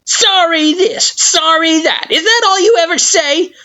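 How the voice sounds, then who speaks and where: A woman voicing an angry, sarcastic male flower monster with a shrill, sharp-tongued delivery. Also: very animated, unhurried, slightly rough, exaggerated voice. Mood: scolding. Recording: dull lo-fi recording.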